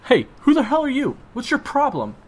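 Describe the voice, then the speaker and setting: A man voicing a hot-headed young boyfriend who shouts insults and picks a fight. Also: extremely high pitch, wildly swooping pitch, brisk, slight background noise, voice pushed up, full character voice. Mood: aggressive. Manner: aggressive, loud, insulting.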